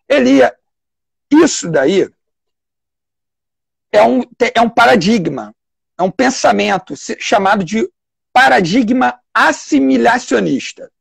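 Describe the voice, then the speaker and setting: A man with a Brazilian Portuguese accent giving a live online lecture as a public defender. He explains step by step, pausing to think and restarting phrases. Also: extremely high pitch, gravelly, slightly rough, no room sound.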